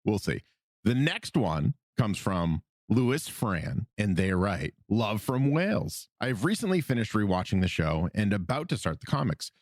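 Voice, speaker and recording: A man co-hosting a casual fan podcast, getting over a cold, with big pitch jumps and frequent laughs. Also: dry recording, clean studio sound. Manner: animated, quick pitch jumps, laughs often. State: recovering from a cold. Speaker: podcast co-host.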